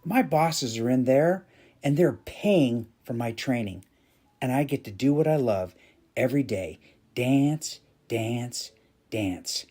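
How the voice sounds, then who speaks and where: A man's voice: medium pitch, animated, slow, dark tone. A man voicing an exuberant toll booth worker who dreams of dancing, speaking emphatically in a storytelling reading. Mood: joyful, confiding. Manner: emphatic, repeats words with relish. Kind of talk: dramatized storytelling dialogue.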